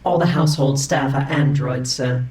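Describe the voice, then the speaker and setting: An actor playing a dutiful, literal-minded android valet, formal and deadpan, in a comic sci-fi audio drama. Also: male voice, mid-range voice, normal speed, heavy background noise, natural voice. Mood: deadpan, deferential.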